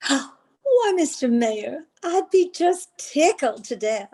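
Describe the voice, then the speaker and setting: A woman's voice: high-pitched, very animated, takes it slowly, mellow, full character voice. Coquettish belle acted by a woman. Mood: gushing flirtation. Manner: flirtatious, gushing, genteel. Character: coquettish, flattering Southern belle lady. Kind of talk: old-time radio comedy acting.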